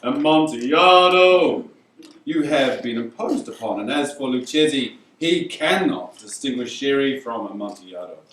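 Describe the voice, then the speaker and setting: A man playing a boisterous, proud, tipsy wine connoisseur in an amateur dramatic reading, with loud, drawn-out exclamations. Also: mid-range voice, lively intonation, natural voice. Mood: insistent. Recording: echoey room, far from mic, faint hiss.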